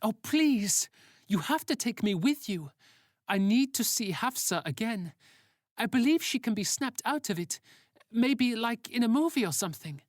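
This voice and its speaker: Narrator doing a high-pitched, pleading teenage boy. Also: very animated, normal speed, no room sound, clean studio sound, close to an everyday voice. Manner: earnest, pleading, insistent. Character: rebellious, desperate teenage boy pleading for his sister.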